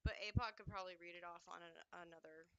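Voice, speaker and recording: Sleepy wife joining a stream, rambling, bright tone. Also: female voice, lowish voice, fairly even intonation, racing, a little hiss or hum. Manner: casual, rambling, slightly hesitant. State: sleepy, just woke up. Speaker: host's wife, guest on stream. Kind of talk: casual chat.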